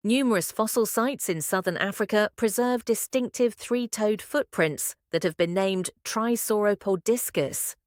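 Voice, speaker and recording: A woman's voice: medium pitch, unhurried, hardly any pauses, no room sound. Woman reading aloud, dry close sound. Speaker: narrator of test practice examples. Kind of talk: scripted read-aloud narration of exam practice passages.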